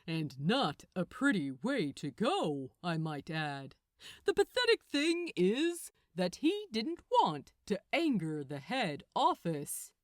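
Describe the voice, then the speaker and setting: A woman's voice: on the low side, wildly swooping pitch, takes it slowly, dry recording, studio quality, full character voice. A woman voicing a smug, gloating male archangel with a condescending, theatrical manner in a fan fiction audiobook. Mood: smug amusement. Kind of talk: acted audiobook dialogue.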